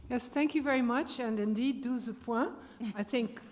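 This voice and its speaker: A woman's voice: fairly high pitch, moderate pace. A woman member of parliament asking a question from the floor, rallying and appreciative. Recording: reverberant conference hall.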